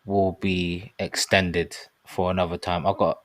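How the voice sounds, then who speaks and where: A man in his thirties with a low, deep voice speaks in an earnest, rambling way, often drifting into plugs for his own project. The recording is close and dry, with no room sound. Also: flat delivery, measured pace, dry recording. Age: 30s. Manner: earnest, rambling, promotional asides. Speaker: podcast co-host. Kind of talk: casual discussion.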